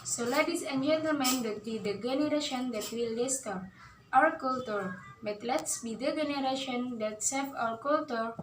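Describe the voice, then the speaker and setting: High Indonesian-accented young woman, noisy room. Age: young adult. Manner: formal, halting. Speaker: student speaker. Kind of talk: formal speech opening and closing. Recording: roomy echo, from far off, clear noise.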